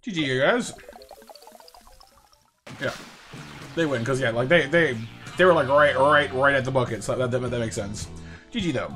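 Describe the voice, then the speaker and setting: A young man with a slightly raspy voice from a recent cold, hosting a casual live game stream with viewers and often stammering over repeated words. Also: mid-range voice, moderate pace, pauses often, fairly bright tone, soft background music. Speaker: livestream gaming host.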